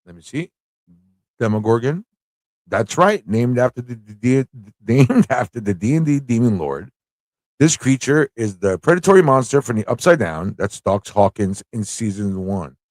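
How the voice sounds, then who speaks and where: A man's voice: medium pitch, lively intonation, normal speed, darkish tone, no room sound. Casual man hosting, close dry sound. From United States. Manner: conversational, reads questions aloud and repeats them. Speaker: livestream host running a trivia quiz. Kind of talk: casual live quiz hosting, chatting with viewers.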